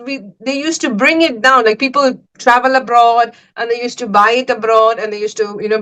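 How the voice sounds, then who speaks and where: A chatty woman from Sri Lanka hosts a casual podcast conversation. Her voice sits a little high, and she keeps dropping "like" and "y'all" into emphatic asides. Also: sharp tone, low-quality recording. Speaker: podcast host.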